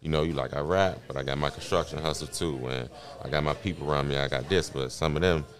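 Man around thirty, extremely low pitch, fast pace, casual podcast host, short affirmations. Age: 30, about to turn 31. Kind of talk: casual interview chat.

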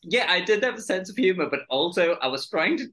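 A man's voice: high voice, big pitch swings, fast, bright, forceful tone. A young man with a British accent, joking and laughing often as a podcast co-host in casual talk. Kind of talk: casual conversation.

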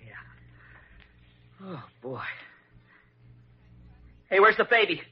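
A man's voice: high voice, lively intonation, slow, slightly rough, heavily acted. An actor playing a fretful, earnest young father-to-be, anxious and flustered, in an old radio drama. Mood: nervous relief. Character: jittery, fretful, eager young expectant father. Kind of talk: 1940s radio comedy-drama acting. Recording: dull, muffled vintage radio recording.

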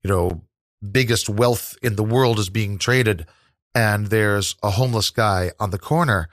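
Thoughtful low-voiced New man. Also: a little slow, fairly bright tone, no room sound, clean studio sound. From New York. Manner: thoughtful, rambling with many fillers. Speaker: interview guest, New York tour guide. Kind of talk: casual interview conversation.

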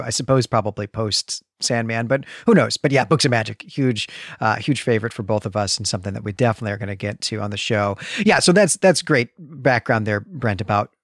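A man's voice: lowish voice, fairly bright tone, dry recording, studio quality. A man hosting a podcast in casual discussion, stressing his points by repeating words. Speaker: podcast host.

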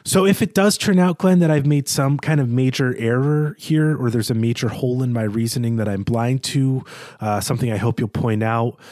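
A man's voice: mid-range voice, moderate pace, a little mellow, no room sound. A man co-hosting a literary podcast, talking through a prepared argument in a discursive, bookish and self-deprecating way. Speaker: podcast co-host presenting his reading of a novel.

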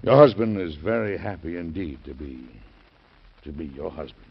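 A stubborn old statesman with a very deep, gravelly, husky voice. He speaks gently and fatherly, with halting pauses. The sound is muffled, like a vintage radio broadcast. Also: animated, full character voice. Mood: tender. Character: elderly male U.S. president, stubborn, gentle. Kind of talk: 1940s radio acting.

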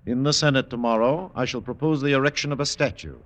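An actor in a classic radio drama playing a vain, imperious Roman ruler who speaks in grandiose declamation. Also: male voice, medium pitch, fast, natural voice. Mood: boastful.

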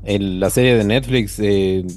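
A man co-hosting a podcast in an excitable, rambling, casual way. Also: fairly low pitch, unhurried, faint music behind. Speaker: podcast co-host.

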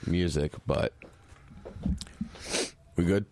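A man's voice: sits low, extremely slow, darkish tone. Chatty, upbeat male podcast host. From Holland, Michigan. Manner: upbeat and chatty, laughs at his own slip-ups. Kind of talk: casual interview chat.

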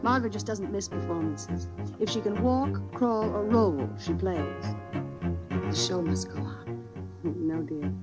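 A woman's voice: on the low side, animated, unhurried. An actress playing a proud, theatrical woman in dramatic film trailer dialogue. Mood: proud, resolute. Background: loud music.

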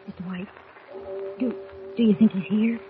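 An actress playing an anxious, watchful woman reporter, hushed and urgent, in 1940s radio-drama style. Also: mid-range voice, steady tone, normal speed, phone-quality sound, music in the background, close to an everyday voice. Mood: nervous, hushed. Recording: thin, noisy vintage radio recording.

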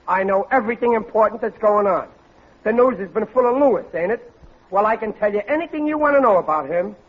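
A man's voice: high-pitched, animated, quick pace, slightly rough. An actor playing a blustering, thickheaded American husband and father who speaks in folksy bad grammar, in 1940s radio sitcom style. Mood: pompous, grumbling. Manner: folksy, mangled grammar, indignant. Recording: dull, noisy old radio recording.

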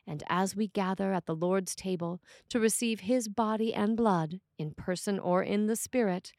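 A woman reads a prepared sermon as a priest would. Her phrasing is deliberate and measured, at an average pitch for a woman. The sound is dry, with almost no room in it. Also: dry recording. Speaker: priest reading a written sermon. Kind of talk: scripted sermon reading.

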